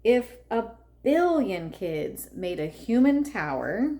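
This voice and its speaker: Female read-aloud narrator for kids' storybook, medium pitch, unhurried measured delivery with expressive emphasis.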